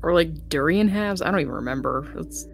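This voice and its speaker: A woman's voice: deep voice, lively intonation, a little fast, gravelly, faint music behind. A woman chatting casually as a player in a tabletop roleplaying game. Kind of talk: casual chat in play.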